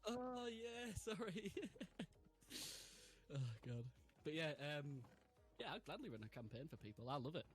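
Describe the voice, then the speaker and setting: A man's voice: a little high, sing-song, measured pace. A man in his twenties chatting casually and laughing often while streaming a game. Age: 20s. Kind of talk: casual gaming chat.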